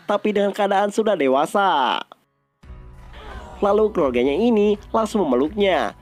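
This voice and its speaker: A man's voice: high-pitched, darkish tone. A man with an Indonesian accent narrates a film recap in a casual style.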